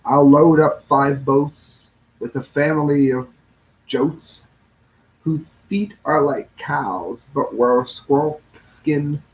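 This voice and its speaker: A man with a fairly high-pitched voice reads a rhyming picture book for children. He takes it very slowly, with a theatrical sing-song lilt, drawing out phrases and dropping the endings. The reading is unpolished, with occasional slips over the words. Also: rough recording. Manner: stumbles and corrects himself while reading. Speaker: storybook reader. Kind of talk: read-aloud children's storybook in rhyme.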